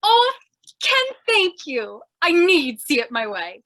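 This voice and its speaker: A woman acts out an eager, bossy lady reporter from an old radio comedy-thriller. Her voice is very high and sharp, with big melodramatic swings. She gushes and insists with total confidence. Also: wildly swooping pitch, takes it slowly, heavily acted. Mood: gushing excitement. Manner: gushing, theatrical, insistent.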